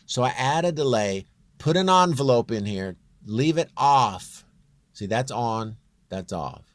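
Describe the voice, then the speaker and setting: A man with a very low, near-bass voice giving a casual, off-the-cuff software walkthrough in a rambling, plain-spoken, self-deprecating way. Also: expressive, measured pace, dry recording. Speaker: home-recording singer giving a tutorial.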